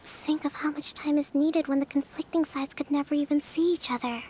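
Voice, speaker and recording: A woman voicing a gentle, thoughtful young leader in a video game, speaking politely and earnestly in measured phrases, with music behind her. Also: high voice, fairly even intonation, slight background noise, lightly acted. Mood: pensive. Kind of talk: video game dialogue acting.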